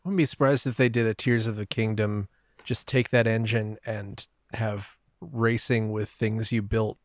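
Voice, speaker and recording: A man's voice: lowish voice, steady tone, normal speed, dry recording, studio quality. A man talking casually as a panelist on a gaming podcast.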